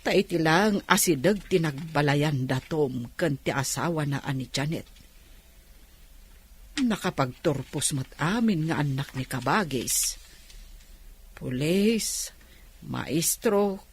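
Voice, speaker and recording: Low, husky older village woman. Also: full character voice. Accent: Filipino. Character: lonely older village woman putting on a brave face. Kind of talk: radio drama acting.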